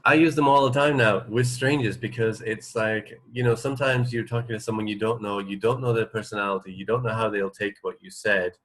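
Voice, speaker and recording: Low voiced guy co-hosting a radio chat, casual, kinda hesitant, keeps checking in with little questions. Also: steady tone, a little fast, hardly any pauses, fairly bright tone, poor audio. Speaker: radio co-host.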